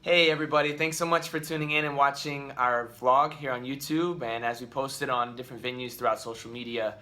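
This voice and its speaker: Bright-voiced rambling dad vlogger. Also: male voice, medium pitch, steady tone, fairly quick. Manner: rambling, lots of ums and restarts. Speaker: vlog host, father. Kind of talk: casual talk to camera. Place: at home.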